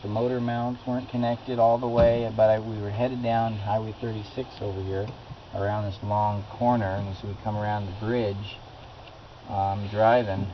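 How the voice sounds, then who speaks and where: A middle-aged American man chatting casually, telling anecdotes in an informal, rambling way. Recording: dull lo-fi recording with loud rumbling noise.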